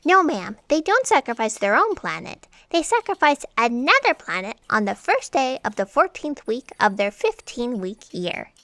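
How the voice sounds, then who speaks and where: A flustered, deferential female fact checker in a comedy audio drama. Her voice is fairly high and bright, leaping around with anxious eagerness. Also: sing-song, normal speed, hardly any pauses, no room sound. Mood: earnest, alarmed.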